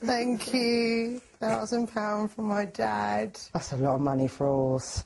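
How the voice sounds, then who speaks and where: A woman's voice: medium pitch, measured pace, gravelly, noisy background. A woman taking part in a television show, talking casually and sounding nervous and anxious. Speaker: show participant. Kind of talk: casual interview talk. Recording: music under the voice.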